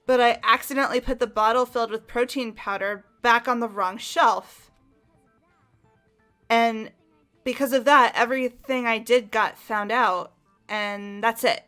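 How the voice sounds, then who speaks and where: A woman's voice: fairly high pitch, steady tone, measured pace, sharp tone, clear, resonant tone, faint music behind. A woman voicing a flustered young female suspect who stammers and gets defensive under questioning. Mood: nervous. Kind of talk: fan voice acting of a game.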